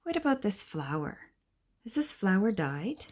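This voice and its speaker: A woman reads a children's picture book aloud in a gentle, explanatory way, asking questions and adding asides for young listeners. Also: mid-range voice, big pitch swings, unhurried, a little hiss or hum.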